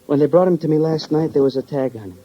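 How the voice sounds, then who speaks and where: An actor playing a weary, brooding medical examiner in a 1950s radio crime drama, speaking in halting, emphatic, oddly philosophical phrases. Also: male voice, a little high, natural voice. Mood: somber, weary. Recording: dull old radio recording.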